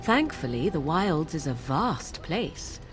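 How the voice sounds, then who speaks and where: A woman's voice: low voice, big pitch swings, slow pace, full character voice. An actress playing a sly young witch who teases in a wry, archly formal way, with game music behind her. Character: sly young female witch, mocking and aloof.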